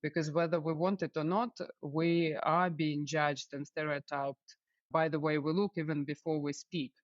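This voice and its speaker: A woman from Ukraine, a stylist guest telling her story in a relaxed interview, with many small fillers. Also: low-pitched, normal speed, dry recording, highs cut off. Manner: warm, storytelling, frequent "uh" fillers. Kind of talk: casual interview chat.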